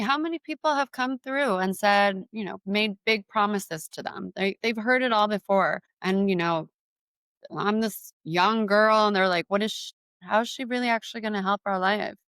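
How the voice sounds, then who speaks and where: A woman's voice: mid-range voice, a bit bright, dry recording, clean studio sound. A young woman, a business guest, telling candid stories and giving advice with many casual fillers. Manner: candid storyteller, frequent "like" fillers. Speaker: guest entrepreneur. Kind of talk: interview conversation.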